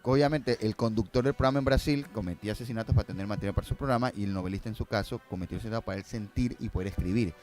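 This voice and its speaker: A man's voice: lowish voice, very fast, few pauses, a little mellow, soft background music. A man speaking as a guest filmmaker in casual conversation, explaining and telling stories, often repeating phrases for emphasis.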